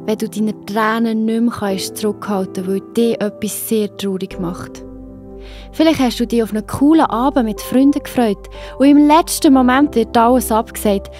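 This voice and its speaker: A woman's voice: a little high, moderate pace. A woman with a Swiss German accent narrating a Bible story for children. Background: faint music.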